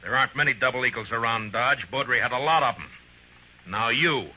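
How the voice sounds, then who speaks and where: A man playing a stern, steady American frontier marshal in an old-time radio Western, speaking in a terse, commanding way. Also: medium pitch, brisk. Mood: accusing. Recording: noisy vintage radio drama recording.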